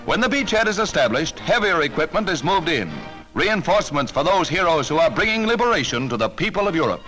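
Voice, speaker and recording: A man's voice: fairly high pitch, big pitch swings, a little fast, poor audio. An American man reading a scripted 1940s newsreel narration in an urgent, dramatic, declamatory manner on a vintage soundtrack. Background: loud music.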